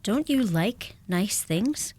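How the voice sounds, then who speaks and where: An actress playing a self-possessed, tender young bride-to-be in a radio play, speaking directly. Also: female voice, medium pitch, animated, slow, darkish tone, slightly rough. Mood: curious, sympathetic.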